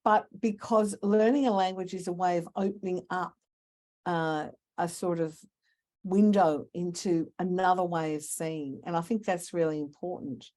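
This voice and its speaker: A woman's voice: fairly low pitch, steady tone, normal speed, darkish tone. A woman, speaking thoughtfully as a panel speaker, with many fillers and restarts. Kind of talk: panel discussion answer.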